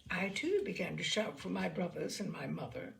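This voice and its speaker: A woman reading a literary story aloud as an expressive narrator. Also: on the low side, moderate pace, creaky. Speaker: storyteller, reader.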